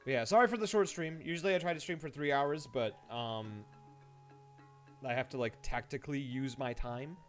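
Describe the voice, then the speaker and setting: A man's voice: mid-range voice, normal speed. A man doing casual live game-stream commentary, rambling playfully with dry asides. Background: music.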